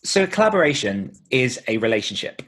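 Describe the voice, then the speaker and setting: A man's voice: medium pitch, very animated, brisk, fairly bright tone. A man giving earnest, explanatory answers in a conversational podcast interview, thinking aloud as he goes. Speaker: podcast host and author being interviewed.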